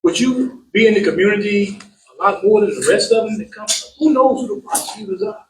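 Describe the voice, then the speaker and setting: A man's voice: high voice, brisk, background noise. A man, a city councilman, speaking up from the audience in a folksy, vernacular way. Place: large room with an audience. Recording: roomy, distant from mic.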